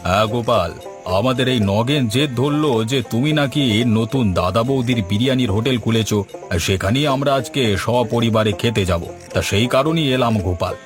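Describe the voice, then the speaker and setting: A man's voice: medium pitch, a little fast, music in the background, natural voice. A man voicing an older, authoritative village landlord in a cartoon, with an emphatic way of talking. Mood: hearty. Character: older village landlord, blunt, hearty, commanding patron. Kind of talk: cartoon story dialogue.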